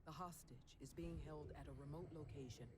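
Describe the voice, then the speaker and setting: A man playing a stern, businesslike mission commander, delivering measured, authoritative briefing narration for a military game. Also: a little high, lightly acted. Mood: serious, instructive. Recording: loud noise behind the voice.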